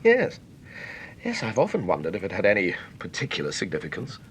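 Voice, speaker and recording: An actor playing an apologetic, courteous but persistent gentleman visitor in a vintage radio drama, polite, hesitant and expressive. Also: fairly low pitch, fairly quick, a little hiss or hum. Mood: courteous, puzzled.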